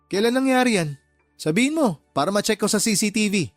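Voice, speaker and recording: Radio drama role: stern middle-aged Filipino shop boss, fair but exasperated, lecturing in a high male register. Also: expressive, fairly quick, dry recording, clean studio sound, soft background music. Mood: firm, questioning. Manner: firm, lecturing. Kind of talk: Tagalog radio drama acting.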